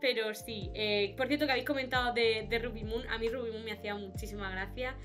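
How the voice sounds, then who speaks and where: A woman's voice: on the high side, steady tone, fast, fairly bright tone, soft background music. A young woman from with a cold, chatting and laughing often on a video call. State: has a cold. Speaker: podcast co-host. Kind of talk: casual chat. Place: home room, indoors. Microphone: webcam on a video call.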